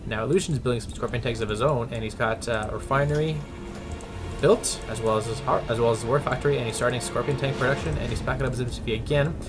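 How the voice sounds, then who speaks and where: A man casting a strategy game replay, halting with fillers and restarts and breaking into bursts of excitement. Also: medium pitch. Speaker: game commentator. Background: background music and keyboard typing.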